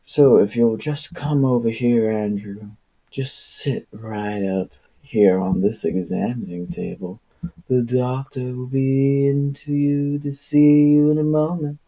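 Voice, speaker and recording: A man's voice: mid-range voice, fairly even intonation, slow pace, dark tone, muffled recording, voice pushed up. A man voicing a falsely sweet, patronizing nurse lady from a storybook, speaking reassuringly to a child.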